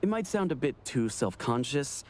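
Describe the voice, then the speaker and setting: A man voicing a polite, helpful high school student in an anime game, earnest and explanatory. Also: big pitch swings, normal speed, lightly acted.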